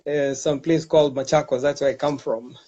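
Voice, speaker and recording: A man from Kenya, a businessman guest answering interview questions in a calm, measured way with some hesitations. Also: medium pitch, dull audio.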